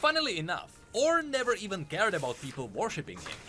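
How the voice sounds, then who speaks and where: A man's voice: sits high, huge pitch swings, quick pace, pushed and bright. A man reading scripted narration, telling fantasy game lore as a story. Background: background music under the voice.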